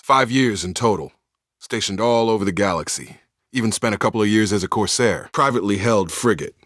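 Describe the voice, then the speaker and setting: A man's voice: on the low side, a bit bright, no room sound, close to an everyday voice. Male game squadmate: loyal, guarded soldier, played by a male actor, clipped and matter-of-fact, studio-dry sound. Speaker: video game squad member. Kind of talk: scripted video game dialogue.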